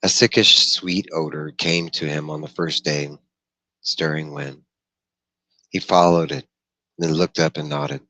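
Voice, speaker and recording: Very deep-voiced man reading a novel aloud. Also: bright, forceful tone, no echo, low-quality recording. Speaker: audiobook reader.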